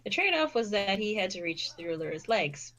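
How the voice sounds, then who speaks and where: A woman's voice: medium pitch, big pitch swings, a little fast, a bit bright. A woman reading a story aloud as narrator, now and then stumbling and repeating a phrase. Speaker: fan fiction reader and narrator.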